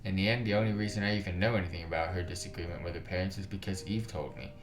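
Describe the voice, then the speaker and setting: Deep-voiced man narrating in a roomy space. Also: racing, noisy background. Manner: slangy, sweary in asides. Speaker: video game streamer reading a visual novel aloud. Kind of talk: narrative read-aloud with casual asides. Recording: roomy echo, music behind. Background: game music.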